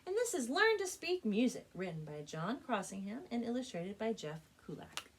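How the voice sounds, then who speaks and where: A woman speaking as a children's librarian and storyteller, with an expressive, clearly enunciated read-aloud delivery. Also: fairly high pitch, normal speed, slight background noise. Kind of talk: children's storytelling and book recommendations.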